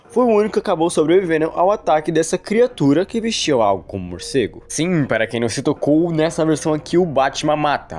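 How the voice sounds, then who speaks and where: A man's voice: fairly high pitch, a little mellow. A man with a Brazilian accent narrating an explanatory video analysis in a wordy, explainer manner.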